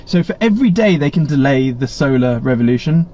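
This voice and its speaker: A man's voice: mid-range voice, animated, a little fast, warm tone. An English man hosting a casual live stream, chatty and rambling, with blunt asides and many restarts. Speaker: livestream host. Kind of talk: casual live Q&A, reading viewer chat. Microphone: webcam setup. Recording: slightly muffled stream audio.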